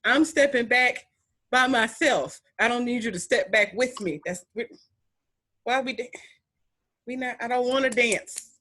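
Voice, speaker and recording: A young woman with a deep voice hosting a podcast, chatting in an animated, emphatic way with playful repetition. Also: measured pace, pauses often. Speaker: podcast host. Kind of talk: casual chat.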